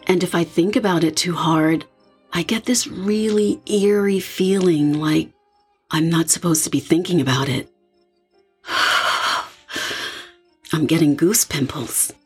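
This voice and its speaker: A woman's voice: on the low side, measured pace, pauses often, darkish tone, slightly rough, soft background music. A woman playing a young partygoer who is reflective and a little uneasy, in an audio horror drama. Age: young adult. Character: young woman partygoer, uneasy and open about eerie feelings.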